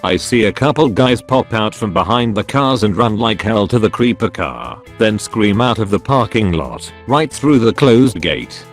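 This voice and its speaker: Man narrating stories over soft music. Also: fairly low pitch. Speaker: narrator reading online posts. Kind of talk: scripted narration. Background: soft background music.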